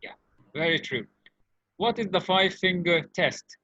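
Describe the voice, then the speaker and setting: A man with a bright, forceful and fairly high voice chats casually as an interviewer. The sound is dry and somewhat dull, with little room around it. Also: expressive, measured pace, dry recording.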